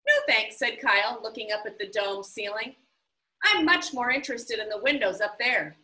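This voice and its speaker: A woman reading a children's novel aloud, animated and voicing each character as she goes. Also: moderate pace, roomy sound, low-quality recording. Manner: animated, voices the characters with big pitch jumps. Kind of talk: expressive read-aloud storytelling.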